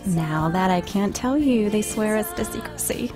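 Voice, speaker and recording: A woman's voice: medium pitch, expressive, normal speed, lightly acted. A woman playing a polite, genteel television actress in an amateur audio play. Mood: composed. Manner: polite, refined. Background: music.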